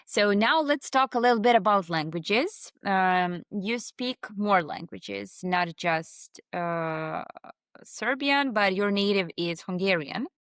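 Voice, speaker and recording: A woman's voice: medium pitch, expressive, slow, a bit bright, clean tone, no echo, clean studio sound. Hesitant woman podcast host in her thirties. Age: 30s. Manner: hesitant, many ums and uhs, laughs easily. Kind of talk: casual interview chat.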